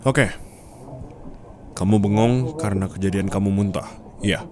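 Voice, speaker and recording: A man's voice: fairly low pitch, unhurried, crowd noise, close to an everyday voice. A man playing a possessive, teasing company boss in an intimate ASMR roleplay, coaxing and insistent as he talks closely to the listener. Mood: reassuring, earnest. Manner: close, coaxing, insistent, with short prompting questions. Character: possessive, teasing male company boss, commanding yet forgiving.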